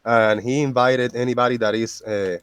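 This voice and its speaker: A man's voice: mid-range voice, steady tone, moderate pace, smooth, dry recording. A man in a casual online chat who talks hesitantly and stammers.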